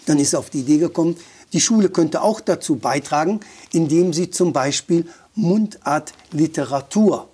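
A man's voice: on the high side, warm tone, slightly rough. A man talking as a guest about dialect, thoughtful and opinionated, often restarting his sentences. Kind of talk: conversational explanation.

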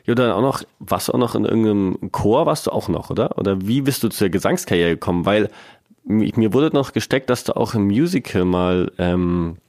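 A man's voice: on the low side, brisk, darkish tone, dry recording, studio quality. A man hosting a podcast interview, chatty and teasing, often stumbling over his words. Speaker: podcast host. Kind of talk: casual interview chat.

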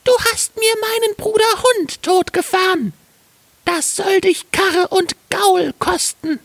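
A little fairy tale sparrow with a piping, extremely high voice. The bird speaks slowly and deliberately, with an unforgiving, taunting temper. The sound is close and dry. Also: male voice, fairly even intonation, vocal fry, a bit bright, dry recording, heavily acted. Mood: accusing, vengeful. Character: small vengeful male sparrow, taunting and unforgiving.

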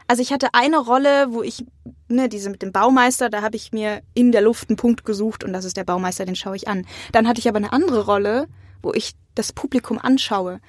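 A woman's voice: a little high, brisk, dry recording, studio quality. A young adult woman giving casual, informal and anecdotal answers as an interview guest. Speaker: interview guest, acting student.

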